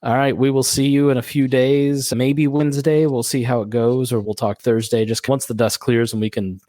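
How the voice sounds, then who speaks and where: A man with a raspy, worn-out voice, hosting a casual political podcast in a loose, conversational way. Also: mid-range voice, level tone, mellow, no room sound, clean studio sound. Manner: loose, conversational, quick to joke. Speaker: podcast host.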